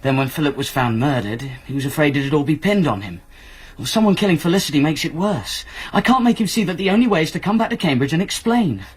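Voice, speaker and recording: A worried young student looking out for a friend in a radio play. His voice is slightly rough, with wide, lively intonation. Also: a little high, quick pace, hardly any pauses, rough recording. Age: young adult. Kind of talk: radio drama acting.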